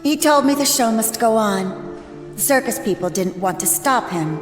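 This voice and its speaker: A woman's voice: fairly high pitch, animated, normal speed, roomy sound, long reverb tail, soft background music, lightly acted. A woman playing a caring, businesslike arena manager in an audio drama. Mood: friendly, businesslike.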